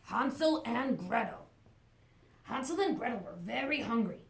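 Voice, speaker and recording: A woman reading a children's storybook aloud in an expressive storytelling style, recorded indoors in a room. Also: on the high side, unhurried, gravelly, a little hiss or hum. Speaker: storybook reader. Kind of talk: animated read-aloud for children. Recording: echoey, roomy sound.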